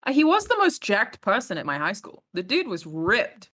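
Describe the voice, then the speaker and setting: A woman's voice: mid-range voice, wildly swooping pitch, normal speed, fairly bright tone. A woman with an Australian accent, joking and self-deprecating in a casual group chat. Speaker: guest.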